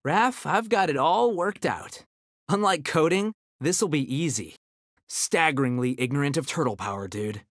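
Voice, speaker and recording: Nerdy young american ninja turtle from a fighting game, cocky, super animated, dry close sound. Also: male voice, on the high side, normal speed, no room sound. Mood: cocky, quippy. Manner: nerdy, confident, quippy delivery. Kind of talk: video game character battle banter.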